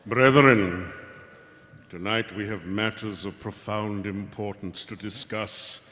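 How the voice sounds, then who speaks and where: An actor playing a pompous, scheming grand master of a secret cult, grandiose and ceremonial, in live radio theatre. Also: male voice, on the low side, fairly even intonation, pushed and bright. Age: middle-aged. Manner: grandiose, slow ceremonial delivery. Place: stage in a large hall. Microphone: stand microphone. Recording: echoey live stage hall.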